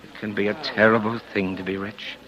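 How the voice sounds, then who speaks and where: A man's voice: fairly low pitch, little pitch movement, fairly quick, fairly bright tone, lightly acted. An actor playing a guarded, well-off bachelor wooing a woman in a 1940s radio drama, casual and evasive. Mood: confessional, tender. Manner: casual, evasive, courting endearments. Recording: dull vintage 1940s radio recording.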